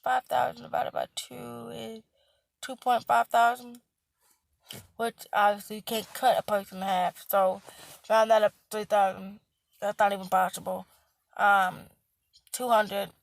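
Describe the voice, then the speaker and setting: A young woman who works in healthcare, hoarse with a cracking voice, lecturing bluntly, repeating herself and swearing for emphasis. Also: mid-range voice, level tone, a little slow, pauses often, no room sound. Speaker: healthcare worker. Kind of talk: casual vlog rant.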